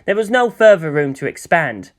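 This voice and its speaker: A narrator reading fan fiction aloud, changing pitch and pace for emphasis and voicing the characters. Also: big pitch swings, normal speed.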